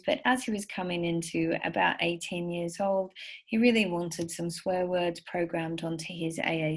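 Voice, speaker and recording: A woman giving a recorded slide lecture for parents, encouraging and full of stories. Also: lowish voice. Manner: warm, encouraging, anecdotal. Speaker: speech therapist presenter.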